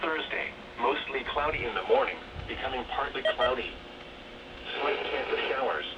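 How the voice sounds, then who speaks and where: A man's synthetic, robotic voice reading a scripted weather forecast as a radio announcer, measured and formulaic. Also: mid-range voice, lo-fi sound. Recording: noisy weather radio heard from a distance.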